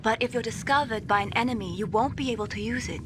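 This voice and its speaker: A woman's voice: fairly high pitch, fast talker, lightly acted. A woman voicing a young female weapons designer who teases and explains, in a noisy game cutscene. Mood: explaining. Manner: bright, teasing.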